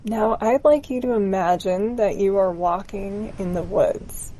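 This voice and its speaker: A woman narrating a scripted guided meditation in a gentle, soothing, unhurried manner. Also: mid-range voice, a little hiss or hum, rough recording.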